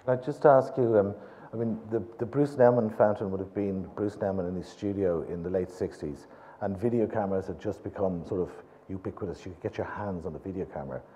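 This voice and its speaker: An older Irish man interviewing an artist on stage, explaining with animated gestures. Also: low-pitched, a little hiss or hum. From Ireland. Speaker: interviewer, gallery director. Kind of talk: public conversation on stage.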